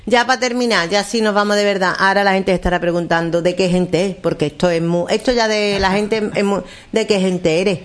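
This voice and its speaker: Woman, middle-aged, Andalusian accent, radio host, casual interview, chatty, teasing, medium pitch. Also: steady tone, fairly quick, few pauses. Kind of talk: casual live chat and interview.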